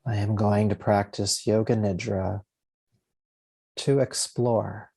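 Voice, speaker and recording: A man leading a guided meditation as a yoga nidra teacher, speaking gently and soothingly in spaced, unhurried phrases. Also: lowish voice, level tone, creaky, breathy edge.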